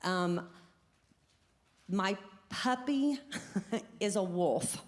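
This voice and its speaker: A woman's voice: mid-range voice, lively intonation, very slow. An upbeat, chatty woman from Oklahoma casually introducing herself to a group. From Oklahoma, United States.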